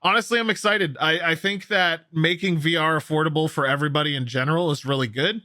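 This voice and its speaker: A man's voice: fairly high pitch, fairly even intonation, quick pace, pushed and bright, no echo, clean studio sound. A man hosting a gaming news show, commenting casually and enthusiastically on stories with a co-host. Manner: enthusiastic, opinionated, conversational.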